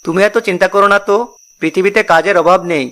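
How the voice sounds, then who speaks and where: A man's voice: sits high, steady tone, fast talker, a bit bright. A man voicing a confident, headstrong middle brother, a decisive young villager in a fairy-tale cartoon. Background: music.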